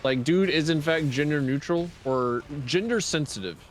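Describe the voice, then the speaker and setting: A man's voice: fairly high pitch, moderate pace. Laid-back man chatting on a call. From American South. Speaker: gamer on a group voice call. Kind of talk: casual chat while gaming. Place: online voice call.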